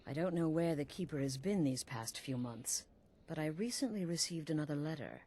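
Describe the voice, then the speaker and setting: A woman voicing a cool-headed assassin leader, briefing a recruit in a calm, dry, matter-of-fact way. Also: low-pitched, moderate pace, slight background noise. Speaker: assassin guild leader giving orders. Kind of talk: video game character dialogue.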